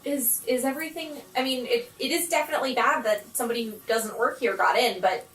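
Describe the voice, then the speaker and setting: A woman's voice: on the high side, fast, fairly bright tone, faint music behind, lightly acted. Woman playing a nervous stammering schoolgirl, roomy sound. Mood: anxious comforting. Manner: stammering, hesitant. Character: nervous, kind-hearted schoolgirl, anxious to reassure. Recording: roomy, distant microphone.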